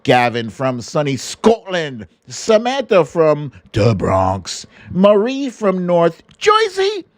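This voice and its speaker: A man's voice: fairly high pitch, wildly swooping pitch, slow, no room sound, studio quality. A man hosting a livestream in a casual, chatty style, with a playful sing-song way of talking. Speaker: livestream host. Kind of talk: casual livestream chat, reading out viewer greetings.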